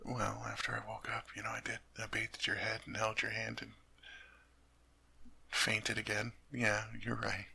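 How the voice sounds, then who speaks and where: A man voicing a doting young king in an audio roleplay, his voice gravelly and husky with a bright, tense edge. He stammers and repeats himself when flustered, then softens into gentle whispers. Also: on the low side, monotone, a little slow, heavily acted. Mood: tender whisper. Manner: soft tender whispering. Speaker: ASMR roleplay performer.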